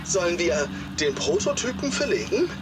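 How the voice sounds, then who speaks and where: An actor playing a stern, critical German wartime officer in a video game. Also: male voice, sits high, very animated, moderate pace. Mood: concerned. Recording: echoing room, loud noise behind.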